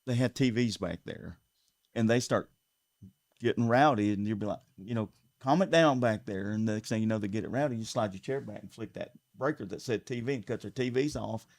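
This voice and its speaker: A man's voice: fairly low pitch, fairly quick, darkish tone, no room sound, studio quality. A man with a Southern US accent, running for sheriff, speaking earnestly in a casual interview and repeating words as he builds his points. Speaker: sheriff candidate. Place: local TV studio.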